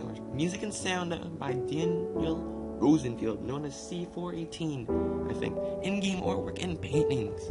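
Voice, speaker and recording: A man doing casual amateur narration for a gaming video, informal and often stumbling over words and names. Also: a little high. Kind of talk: casual read-aloud narration with loose asides. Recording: music playing behind the voice. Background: loud instrumental music.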